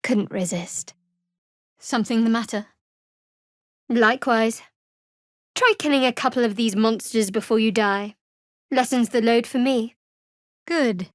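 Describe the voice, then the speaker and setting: A woman playing a guarded, sardonic young cleric of a dark goddess in a video game, with a dry, wry, measured delivery. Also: on the high side, no room sound, lightly acted. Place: studio.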